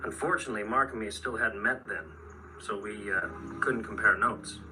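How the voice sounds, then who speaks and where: A man's voice: lowish voice, fairly even intonation, normal speed, sharp tone, a little hiss or hum. A male actor playing an earnest, haunted man in a radio horror drama. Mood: grave, wry. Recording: distant radio drama playback, background sound.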